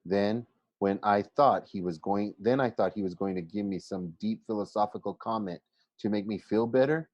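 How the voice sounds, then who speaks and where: A man reading a children's novel aloud like a teacher to a class, expressive but halting, often going back over phrases. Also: fairly low pitch, no room sound, muffled recording. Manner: halting, stumbles and rereads phrases.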